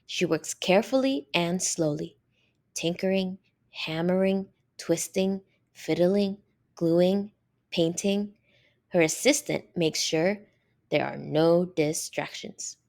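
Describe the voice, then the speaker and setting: A woman reading a children's picture book aloud in an expressive, deliberate manner with drawn-out emphasis on key words. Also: lowish voice. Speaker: storybook reader.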